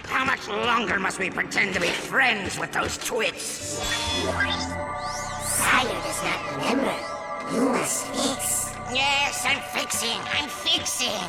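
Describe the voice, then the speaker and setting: An actor voicing a theatrical evil robot mad scientist who sneers and shouts in rage, over loud game music. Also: male voice, very high-pitched, animated, takes it slowly, full character voice. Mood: scheming.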